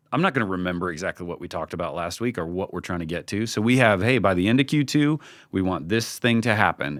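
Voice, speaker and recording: A man's voice: low voice, fairly bright tone, dry recording, clean studio sound. A man speaking informally and candidly as a team leader in a podcast interview, often acting out bits of conversation. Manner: informal, candid, often acts out quoted remarks. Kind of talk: casual conversational interview talk.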